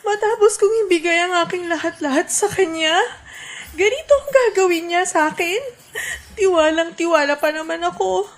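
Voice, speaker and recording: A woman's voice: extremely high pitch, normal speed. A woman playing a devoted, feisty young best friend in a Filipino radio drama, earnest and emotional. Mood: tearful, betrayed.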